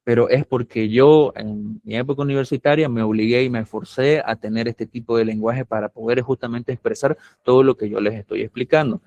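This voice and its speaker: A man's voice: mid-range voice, steady tone, brisk, hardly any pauses, darkish tone, no echo, muffled recording. Bolivian camba-accented male lecturer. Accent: Bolivian camba (Santa Cruz). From Santa Cruz, Bolivia. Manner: patient, explanatory, often checks in with students. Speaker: university lecturer. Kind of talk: classroom lecture.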